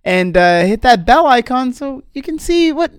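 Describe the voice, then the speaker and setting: A man's voice: very high-pitched, sing-song, normal speed, background noise. A man hosting a podcast in casual, playful, slangy chat, often repeating his phrases. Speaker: podcast host.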